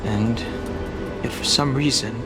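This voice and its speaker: A man's voice: mid-range voice, a little slow, loud music behind the voice, natural voice. A man voicing a reserved, dutiful young dragon-horned man in a video game, speaking formally and earnestly. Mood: solemn, explanatory.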